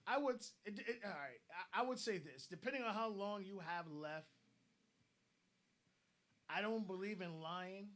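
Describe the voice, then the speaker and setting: A man's voice: sits high, lively intonation, moderate pace, pauses often, fairly bright tone. A man co-hosting a casual online discussion, giving blunt advice and often repeating words as he starts a thought.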